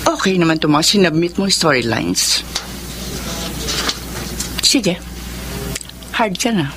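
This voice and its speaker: A woman's voice: fairly low pitch, expressive, takes it slowly, heavy background noise. A woman playing an imperious, middle-aged boss in a Filipino radio drama, brusque and commanding, with a Filipino accent. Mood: curt.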